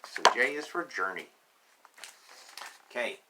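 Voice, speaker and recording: A man reading a picture book aloud to children, deliberate and emphatic in short phrases, with chatty, playful asides. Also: mid-range voice, big pitch swings, heavy background noise.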